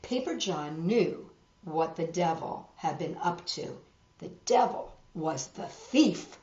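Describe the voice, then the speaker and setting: Animated woman reading to children, roomy sound. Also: on the low side. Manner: expressive, dramatic, pausing to show pictures. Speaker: storytime host reading a picture book. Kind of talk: animated children's story reading with asides to viewers. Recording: roomy, dull lo-fi web video.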